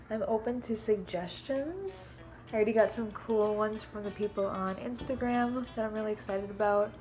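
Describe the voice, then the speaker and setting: A young woman with a stuffed-up, snuffly nose from allergies, chatting casually and rambling to the camera in her living room. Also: mid-range voice. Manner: chatty and rambling, many fillers like "um" and "like". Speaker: beauty and costume vlogger.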